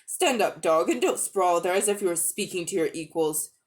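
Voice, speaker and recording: A woman's voice: lowish voice, animated, normal speed, lightly acted. Woman playing an imperious witch queen, sweeping and scornful. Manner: haughty, commanding, contemptuous. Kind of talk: dramatic storybook reading.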